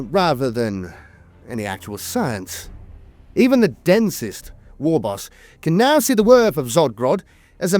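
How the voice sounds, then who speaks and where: A man's voice: fairly high pitch, a little mellow, no room sound. A man reading scripted fantasy lore narration in a dramatic, emphatic storytelling manner.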